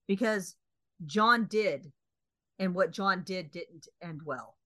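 Woman co-host, lowish voice, deliberate and opinionated, dry close sound. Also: a bit bright, no echo. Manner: measured, opinionated, with thoughtful pauses. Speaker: podcast co-host. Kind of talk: casual book discussion.

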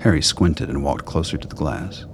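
A man's voice: a bass voice, normal speed, noisy background. A man narrating a radio play as a scripted story in the third person.

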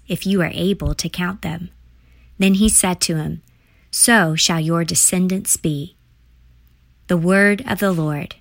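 A woman reading scripture aloud in a measured, reverent manner. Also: on the low side, fairly bright tone, no room sound. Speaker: scripture reader.

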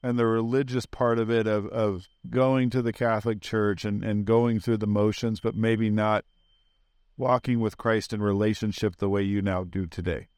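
A man's voice: on the low side, little pitch movement, normal speed, a little mellow, smooth, no echo, studio quality. A man hosting a faith podcast, asking questions and urging listeners in an encouraging, preaching manner. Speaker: podcast host. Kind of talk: casual podcast interview.

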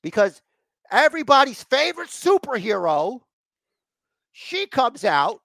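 Animated man chatting, closely recorded. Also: extremely high pitch, a little slow, gravelly, no echo, clean studio sound. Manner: mock exasperation, repeats phrases for emphasis. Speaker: podcast host recapping wrestling. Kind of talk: casual chat, rambling recap.

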